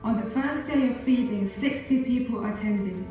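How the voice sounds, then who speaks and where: A woman reading a formal documentary narration in an even manner. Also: a little high, normal speed, faint music behind. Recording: video played in an echoey hall, heard from far off.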